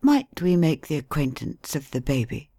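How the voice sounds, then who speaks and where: A woman voicing a calm male detective who speaks quietly and courteously. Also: low-pitched, normal speed, husky, dry recording, studio quality, full character voice. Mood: composed.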